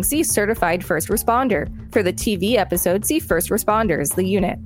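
A woman hosting a podcast, reading encyclopedia articles aloud with brief commentary. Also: medium pitch. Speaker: podcast host and reader.

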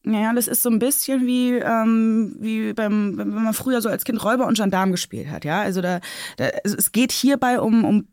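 A woman playing a defensive, weary police officer interviewed in an audio drama, haltingly repeating words and phrases. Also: fairly high pitch, a little mellow, no echo, studio quality, close to an everyday voice. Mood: earnest, defensive.

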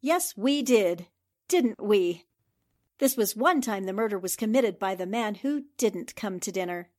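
Actress as a lively, confident girl reporter sleuth. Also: medium pitch, unhurried, lightly acted. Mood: playful teasing. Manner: crisp, assured, explanatory. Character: sharp, confident female newspaper reporter turned amateur sleuth. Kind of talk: 1940s radio drama acting.

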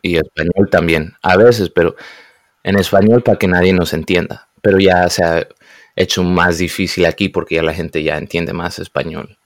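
A young man raised in the United States, speaking casually and often pausing to search for words. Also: low voice, fairly even intonation, no room sound, clean studio sound. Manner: hesitant, searches for words, mixes in English. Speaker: guest. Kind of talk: casual conversation.

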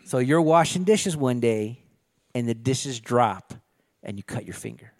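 A man's voice: mid-range voice, lively intonation, a little slow, a little mellow. Guy on a movie podcast just riffing, sweary, jokey, says stuff twice to land it. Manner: profane, riffing, repeats phrases for emphasis. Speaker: podcast co-host. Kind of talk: casual joking banter about movies.

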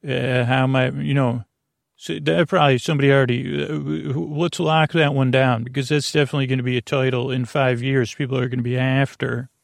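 Male bedtime podcast host, gravelly fry, dry close sound, rambling and self-interrupting delivery. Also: medium pitch, flat delivery, a little fast, dry recording. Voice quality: creaky, gravelly. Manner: meandering, self-interrupting, trailing off mid-thought.

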